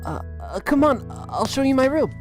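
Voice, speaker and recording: A woman's voice: high voice, sing-song, moderate pace, darkish tone, soft background music. A woman playing a shy, nervous little boy in a drama, stammering and hesitant. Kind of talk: dramatic voice acting in an audio drama.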